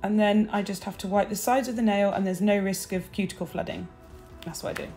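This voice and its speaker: Croaky chatty woman. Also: mid-range voice, steady tone, brisk, a little mellow, faint music behind. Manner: chatty, bubbly, full of tips and asides. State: croaky, early-morning voice. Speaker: nail technician. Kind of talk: nail art tutorial chat.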